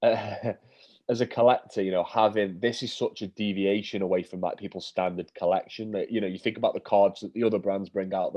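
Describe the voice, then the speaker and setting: A man's voice: sits low, highs cut off. A man co-hosting a casual chat, bunged up and sniffly with a cold. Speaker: co-host.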